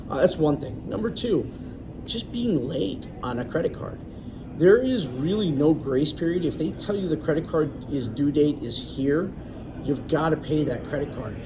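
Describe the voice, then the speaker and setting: A man, a loan originator, explaining things in a confident, chatty way as a guest. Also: mid-range voice. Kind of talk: casual interview. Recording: distant mic, clear background noise.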